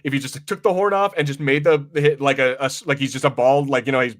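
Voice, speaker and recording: A man's voice: mid-range voice, steady tone, fast talker. A man co-hosting a podcast, chatting casually about movies and often rephrasing himself mid-sentence. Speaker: podcast co-host. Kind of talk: casual movie review chat.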